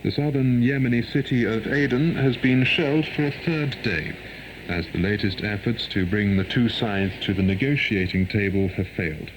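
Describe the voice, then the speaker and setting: Deep-voiced newsreader on noisy shortwave. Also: male voice. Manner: measured, formal delivery. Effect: shortwave radio. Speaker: radio newsreader. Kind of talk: formal scripted world news bulletin. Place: broadcast studio, heard over shortwave. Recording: noisy 1990s shortwave radio reception.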